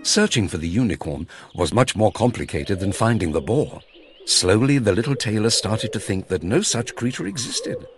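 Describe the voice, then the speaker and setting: A man narrating a children's fairy tale in an expressive, theatrical storytelling manner. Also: fairly low pitch, normal speed. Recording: music behind the voice. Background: music.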